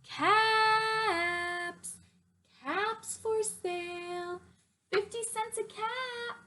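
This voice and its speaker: Woman voicing a high-pitched, exasperated storybook peddler man. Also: fairly even intonation, bright, forceful tone, slight background noise, full character voice. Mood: calling out wares. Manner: emphatic, drawn-out scolding. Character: flustered, angry male cap peddler scolding thieving monkeys. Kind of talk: children's picture-book read-aloud.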